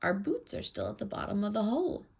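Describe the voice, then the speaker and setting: A woman's voice: mid-range voice, big pitch swings, quick pace, gravelly, slight background noise, pitched above the performer's own voice. A woman voicing a bold, stubborn young girl in a children's storybook. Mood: earnest.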